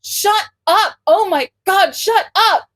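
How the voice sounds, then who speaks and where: A woman's voice: extremely high pitch, takes it slowly, fairly bright tone, pitched above the performer's own voice, heavily acted. A woman voicing an easily flustered, apologetic man in a story, earnest and given to exclaiming and cursing. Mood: flustered, exasperated.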